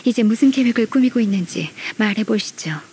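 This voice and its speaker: A woman's voice: on the high side, expressive, noisy background, close to an everyday voice. Woman playing a formal, politely stern young secretary. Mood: stern, interrogating. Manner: formal, measured, politely firm. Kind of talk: Korean anime-style game voice acting.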